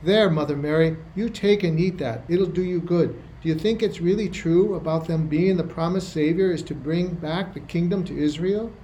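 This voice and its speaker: A man's voice: fairly high pitch, normal speed, hardly any pauses, dark tone, a little hiss or hum, lightly acted, low-quality recording. A man playing a kindly, chatty rustic housewife in an amateur radio play, with a homely, fussing manner. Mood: chatty, wondering. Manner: homely, fussing, folksy dialect.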